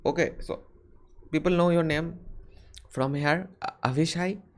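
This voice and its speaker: A man's voice: on the high side, unhurried. Jonge man met Indiaas accent, wat hoge stem. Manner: rambling, many fillers and restarts. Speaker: livestream host answering viewer questions. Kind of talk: casual live Q&A chat.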